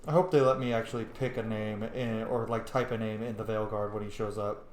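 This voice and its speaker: A man's voice: lowish voice, steady tone, a little fast, a little hiss or hum. Slangy male gamer in echoey room. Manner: slangy, sweary, reacts to game dialogue. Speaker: gaming host, player. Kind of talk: casual playthrough commentary.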